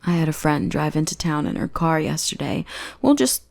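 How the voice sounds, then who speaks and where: Woman acting a creaky-voiced, teasing goth bully girl. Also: deep voice, expressive, normal speed, darkish tone. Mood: calmly menacing. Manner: playful, taunting, drops into breathy whispers. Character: teasing, possessive young goth bully girl, secretly smitten. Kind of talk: ASMR roleplay acting.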